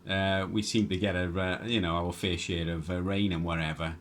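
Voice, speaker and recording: A man's voice: low voice, level tone, moderate pace, slight background noise. A man chatting casually as an amateur radio operator, rambling and hesitant, often repeating himself. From Wales. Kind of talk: casual ham radio chat.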